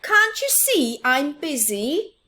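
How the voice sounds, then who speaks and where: A woman's voice: high-pitched, big pitch swings, slow, pushed and bright, voice pushed up, exaggerated voice. A woman voicing a busy, dreamy little boy mouse in a children's picture book, eager and self-important.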